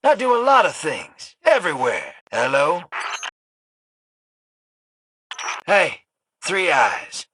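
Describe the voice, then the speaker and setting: An actor in a video game playing a roguish, wisecracking drifter with a gravelly voice and folksy, slangy phrasing. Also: male voice, a little high, huge pitch swings, unhurried, a bit bright, no room sound, exaggerated voice. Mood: needling, animated. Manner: folksy, slangy, teasing drawl of a rogue. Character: roguish, wisecracking, streetwise male drifter and schemer.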